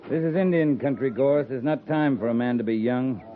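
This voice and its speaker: A man's voice: medium pitch, a little fast, lightly acted. Stern cavalry captain on muffled old radio played by a man. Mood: stern, impatient. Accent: American. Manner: clipped, authoritative. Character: stern, commanding US cavalry captain in frontier West. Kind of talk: 1950s radio drama acting. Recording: muffled 1950s radio recording.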